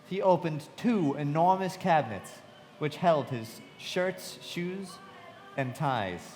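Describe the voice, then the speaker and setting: A man acting in a live radio play as a frank, observant young narrator telling his memories in a theatrical way. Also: a little high. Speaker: narrator and actor. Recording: long hall reverb, clear background noise.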